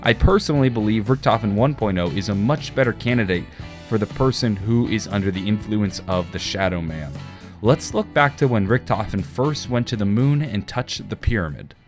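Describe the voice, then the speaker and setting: Low-voiced male explainer over guitar music. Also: normal speed. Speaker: video game lore YouTuber. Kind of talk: scripted explainer narration.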